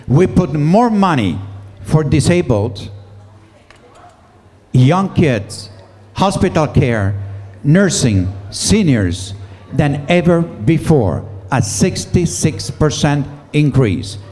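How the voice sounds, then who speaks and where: A man's voice: fairly high pitch, a little mellow, long reverb tail. A male political candidate answering a question at a public debate, emphatic and defensive. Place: public meeting hall.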